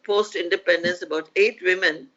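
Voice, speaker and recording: An older woman speaks slowly and thoughtfully, pausing now and then with small hesitations. Her voice comes through a muffled, lo-fi line that dulls its edges. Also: on the low side, steady tone, a bit bright. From India. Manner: measured, with hesitant pauses. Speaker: guest economist. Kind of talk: reflective interview answer.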